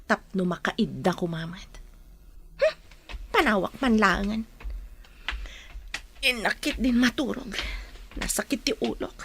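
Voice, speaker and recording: A woman's voice: medium pitch, lively intonation, a little slow, pauses often, a bit bright, a little hiss or hum. A woman playing an irritable, sleepy captor who mutters and complains in a radio drama. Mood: irritated, weary. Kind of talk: Ilocano radio drama acting.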